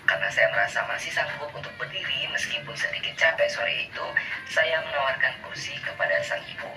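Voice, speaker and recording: A man's voice: fairly high pitch, brisk. Guy reading a story formally, sounds far from the mic, music under it. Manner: even, formal read-aloud delivery. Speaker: narrator of a played video. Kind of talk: scripted first-person story narration. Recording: distant voice with music behind.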